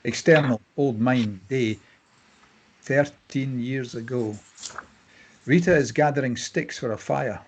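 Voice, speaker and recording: A man's voice: medium pitch, slow, pauses often, slight background noise. A man reading scripted stage directions as narrator at a table read.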